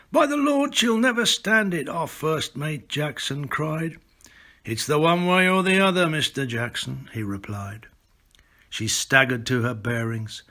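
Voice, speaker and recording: An older British man, an author, speaking and reading poetry aloud in an expressive, recited style. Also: mid-range voice.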